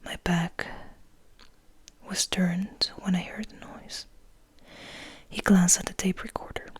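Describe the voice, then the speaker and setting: Very breathy whispering woman. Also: on the low side, flat delivery, slow. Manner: gentle, soft-spoken. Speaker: ASMR host. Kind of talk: whispered ASMR reading and chat.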